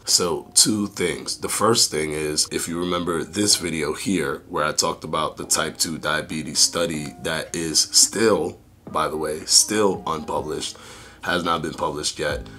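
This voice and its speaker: A young man with a hoarse, raspy voice, rambling casually through a fitness vlog update with many fillers. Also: extremely low pitch, bright, forceful tone. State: hoarse, losing voice. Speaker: fitness YouTuber.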